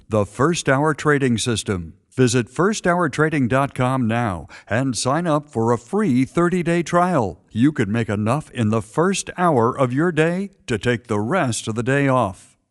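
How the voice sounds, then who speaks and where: A man's voice: mid-range voice, dry recording, studio quality. A man reading a promotional voice-over in a polished, salesman-like announcer manner. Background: music bed.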